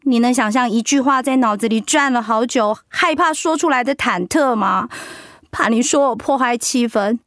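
An actress playing a hurt, outspoken wife recovering from cancer in an emotional, plaintive audio drama performance. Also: female voice, fairly high pitch, normal speed, a bit bright, dry recording, studio quality, close to an everyday voice. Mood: hurt, despairing.

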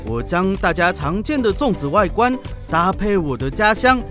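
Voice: mid-range voice, very animated, normal speed, a bit bright, faint music behind, voice pushed down. An actor playing an earnest, proud village cook entering a contest in a children's radio drama. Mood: proud, eager.

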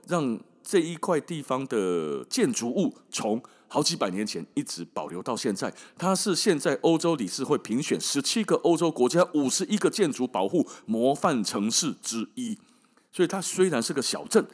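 A man with a Taiwanese Mandarin accent telling stories as a podcast host in a casual, colloquial, wry and emphatic way, often tossing in rhetorical questions. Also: mid-range voice. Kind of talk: casual storytelling monologue.

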